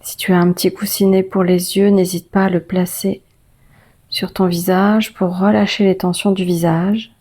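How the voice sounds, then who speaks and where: A woman guiding a yoga nidra meditation as the teacher, speaking in a gentle, soothing, unhurried way with long pauses. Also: lowish voice, little pitch movement. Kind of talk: guided meditation.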